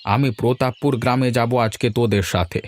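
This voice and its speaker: A man's voice: mid-range voice, a little fast, natural voice. A man voicing an affectionate, teasing old village grandfather in a chatty, familiar way for a cartoon. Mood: fond, reflective. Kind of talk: Bengali cartoon voice acting.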